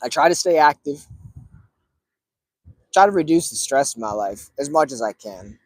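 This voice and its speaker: A man in his early thirties talking casually to viewers, relaxed and rambling with lots of fillers. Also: on the high side, normal speed, no room sound. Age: 30s. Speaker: cigar streamer.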